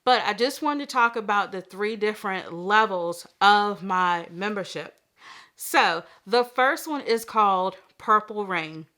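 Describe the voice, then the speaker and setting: A young woman hosts her own vlog in easy, rambling chat, a little stuffy-nosed from allergies. Her voice is bright and forward, and she keeps it crisp and smooth between frequent little laughs and fillers. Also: medium pitch, unhurried. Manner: chatty, laughs often, many fillers. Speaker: vlog host. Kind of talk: casual chat.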